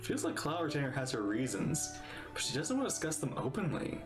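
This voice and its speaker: A man giving excitable, playful, casual reaction commentary as a game streamer. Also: mid-range voice, brisk. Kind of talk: casual gameplay reaction commentary, reading lines aloud. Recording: roomy echo. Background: game music.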